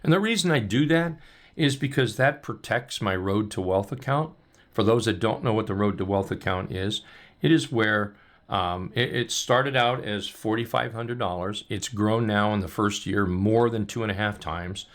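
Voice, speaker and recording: A man coaching on trading, talking loosely and upbeat with many ums and restarts as he walks through charts, his voice going hoarse. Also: lowish voice, moderate pace. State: losing his voice. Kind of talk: casual chart walkthrough.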